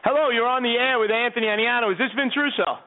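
A man's voice: extremely high pitch, fast. A man in his thirties hosting a fantasy sports radio show, rambling and repeating words as he talks. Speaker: host. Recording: tinny phone-like sound.

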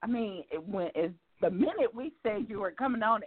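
A woman's voice: medium pitch, expressive, fairly quick, breathy edge. Enthusiastic woman host on a phone line. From South Carolina. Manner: enthusiastic, laughs often. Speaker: talk show host. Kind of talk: casual interview chat.

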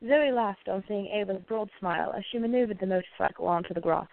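A woman's voice: medium pitch, fast talker, vocal fry, no echo, narrow, tinny audio. A woman narrating a radio play from a script.

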